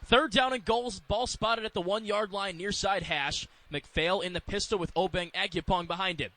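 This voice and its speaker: A man calling live American football on radio as play-by-play announcer, in quick clipped phrases that can build in excitement. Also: high-pitched, dry recording.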